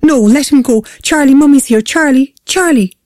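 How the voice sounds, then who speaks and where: A woman's voice: a little high, normal speed, warm tone, breathy edge, no room sound, voice pushed up. A woman acts out a desperate young mother in an audiobook drama. She pleads and gasps in raised, panicked tones, recorded dry and close. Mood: terrified, frantic. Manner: gasping, pleading, bursting out in panic. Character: frantic young mother terrified for her kidnapped son. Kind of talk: audiobook character acting.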